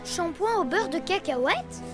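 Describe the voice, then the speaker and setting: A woman's voice: very high voice, expressive, a little slow. A woman voicing a bossy young elephant girl, an indignant eldest sister in a children's cartoon dub. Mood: curious. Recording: music behind the voice.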